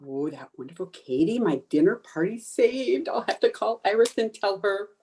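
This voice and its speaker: A woman playing an excitable, scheming housewife in an old-time radio sitcom, with a theatrical, gushing manner. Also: medium pitch, moderate pace, slight background noise, natural voice. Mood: relieved, curious. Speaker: amateur radio player.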